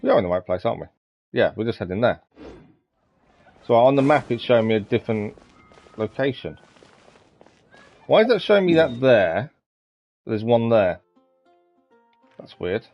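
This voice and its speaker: A man's voice: medium pitch, very animated, moderate pace, pauses often. A man giving casual live gameplay commentary, musing aloud a little hesitantly as he goes. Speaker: game live streamer. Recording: dull lo-fi mic with game music. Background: game music.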